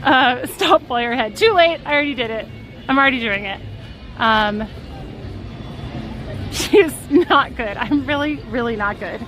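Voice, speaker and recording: Giggly high-voiced woman at a busy theme park. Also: fairly bright tone. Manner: giggly, self-deprecating, chatty. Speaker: live-stream vlogger. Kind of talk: casual storytelling to a live chat. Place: outdoors at a theme park on a rainy day. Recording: park music and crowd noise behind.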